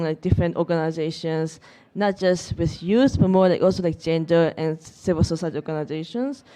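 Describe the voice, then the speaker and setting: A woman's voice: medium pitch, dark tone. A woman giving an unscripted keynote at a conference, hesitant, with many fillers and restarts. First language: Japanese. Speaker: keynote speaker, youth representative. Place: conference venue.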